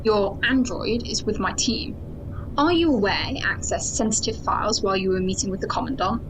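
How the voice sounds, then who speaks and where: A woman's voice: medium pitch, fairly quick, noisy background, natural voice. A woman playing a hard-line, suspicious military security chief in an audio drama, speaking in a clipped, formal way. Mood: stern, formal.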